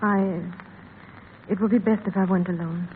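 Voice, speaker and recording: A woman's voice: medium pitch, fairly even intonation, normal speed, close to an everyday voice. An actress plays a lovelorn, restrained woman from an old radio play. Her lines are terse. The recording is thin and tinny with faint noise. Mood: urgent. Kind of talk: 1930s radio drama acting.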